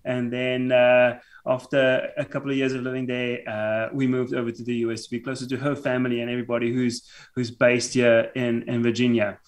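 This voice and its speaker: A man's voice: medium pitch, level tone. A man in his thirties from South Africa, a native Afrikaans speaker with a South African accent, talking as a podcast guest from a home room over a remote call with headphones and a mic, stumbling and restarting his sentences often. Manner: halting, stammering restarts. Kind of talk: casual podcast interview.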